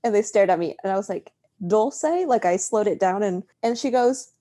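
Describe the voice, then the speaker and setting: A young woman from Mexico co-hosting a podcast, telling stories in a casual, animated way and acting out quoted lines. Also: mid-range voice, moderate pace. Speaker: podcast co-host. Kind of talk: casual chat.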